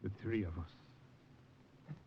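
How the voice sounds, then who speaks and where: An actor playing a confident, insistent partisan leader in a 1950s radio drama, speaking with brisk assurance. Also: male voice, lowish voice, creaky, full character voice. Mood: urgent, assured. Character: urgent, confident partisan leader rallying a rescued spy. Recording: muffled noisy vintage radio recording.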